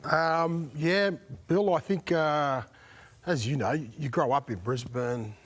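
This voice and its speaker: A man, a former player on a panel, talking in a relaxed way with lots of fillers and "mate". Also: a little high, slow pace, husky. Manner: relaxed, says mate often, many fillers. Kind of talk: casual panel chat.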